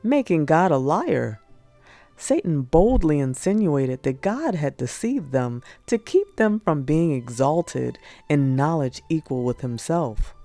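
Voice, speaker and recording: Low, mellow woman reading a religious audiobook. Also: very animated, takes it slowly, dry recording. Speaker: audiobook narrator. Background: music.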